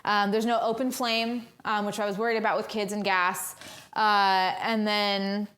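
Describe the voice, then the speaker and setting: A woman's voice: mid-range voice, level tone, unhurried, a bit bright. A woman hosting a casual cooking livestream from her home kitchen, chatting along with lots of ums and uhs. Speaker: cooking stream host.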